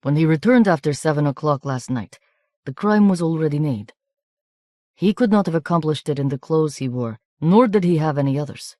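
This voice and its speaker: A woman's voice: low voice, expressive, normal speed, warm tone, no room sound, clean studio sound. A woman voicing a formal, deferential male police official in an audiobook. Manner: stiff, formal speech.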